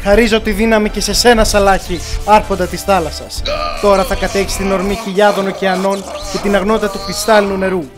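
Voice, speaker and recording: A man's voice: high voice, level tone, music in the background, lightly acted. A man voicing a determined young cartoon hero, the lord of light, speaking in an earnest, declamatory way.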